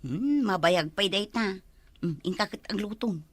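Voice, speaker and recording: A woman's voice: medium pitch, expressive, measured pace, husky, dry recording, studio quality. A woman acting an exasperated village woman in an Ilocano comedy drama, with an Ilocano Filipino accent. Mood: matter-of-fact. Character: exasperated village woman with broken dentures, borrowing money.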